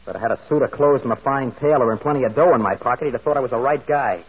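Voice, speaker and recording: An actor playing a bitter, hot-tempered young working-class prisoner in an old radio drama, blunt and defensive. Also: male voice, medium pitch, rapid-fire, slightly rough. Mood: bitter, heated. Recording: tinny, band-limited old radio broadcast.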